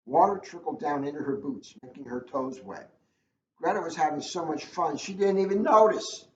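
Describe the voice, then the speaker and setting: A man's voice: fairly high pitch, normal speed, echoey room. A man, a police officer, reading a children's storybook aloud in a casual, informal style. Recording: dull lo-fi audio, faint noise.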